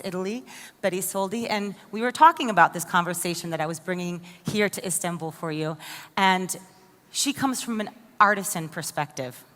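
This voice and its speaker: A woman's voice: fairly low pitch, fairly bright tone. An American woman giving a conference keynote, enthusiastic and asking the audience questions directly. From United States. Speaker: conference speaker. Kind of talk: keynote presentation. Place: large conference hall. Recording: long hall reverb.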